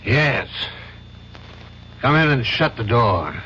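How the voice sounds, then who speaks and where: A man's voice: mid-range voice, lively intonation, takes it slowly. An actor playing a stern, incredulous male law firm boss in a radio drama. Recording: dull old radio broadcast, faint noise.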